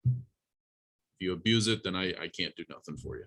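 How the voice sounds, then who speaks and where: A man's voice: low-pitched, moderate pace, fairly bright tone. A man teaching an informal college programming class, talking through a live demonstration in a plain-spoken, instructive way and addressing students directly.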